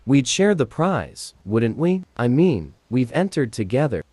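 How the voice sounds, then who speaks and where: Woman voicing a low-pitched, stubborn young storybook boy. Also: very animated, a little slow, mellow, slight background noise, exaggerated voice. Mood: eager. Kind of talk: children's storybook character reading.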